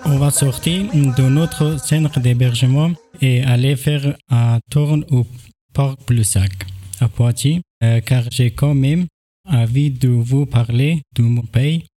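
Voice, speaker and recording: Dark-voiced Afghan man narrating carefully. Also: mid-range voice, fairly even intonation, faint music behind. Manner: careful learner's French with pauses and fillers. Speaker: host presenting his neighbours. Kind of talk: narrated documentary vlog.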